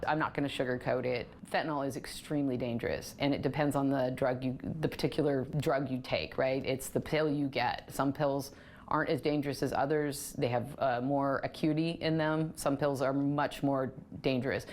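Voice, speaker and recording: A woman's voice: rumbling low, fairly even intonation, normal speed, a little mellow, slight background noise. An American woman from a nonprofit giving serious, emphatic interview answers. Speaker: nonprofit official being interviewed.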